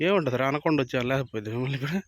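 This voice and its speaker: A man's voice: medium pitch, lively intonation, very fast. A man giving casual vlog commentary outdoors, bantering as he hosts. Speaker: vlog host filming children fishing. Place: outdoors by water.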